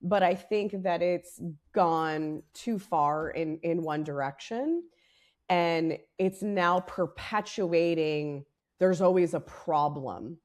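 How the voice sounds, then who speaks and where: A woman with a raspy, worn voice co-hosts a podcast, speaking somewhat low for a woman. Her talk is casual and candid, building into fired-up rants with frank swearing. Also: slow. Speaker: podcast co-host.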